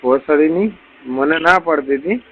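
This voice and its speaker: Man with a fairly high voice and Saurashtra Gujarati accent. Crude, foul-mouthed, casual phone talk. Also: unhurried, husky, phone-quality sound. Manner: crude, heavily swearing. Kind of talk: casual chat on a phone call.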